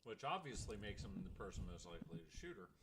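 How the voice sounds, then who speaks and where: A man's voice: fairly low pitch, sing-song, quick pace, fairly bright tone. A man co-hosting a podcast in casual chat, making wry, sarcastic jokes. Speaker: podcast co-host.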